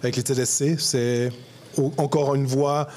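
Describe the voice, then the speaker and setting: A man's voice: medium pitch, little pitch movement, unhurried, darkish tone, a little hiss or hum. A man, a podcast host and sports journalist, asking chatty, circling questions with many restarts in a casual live interview in a bar. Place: bar, live audience event.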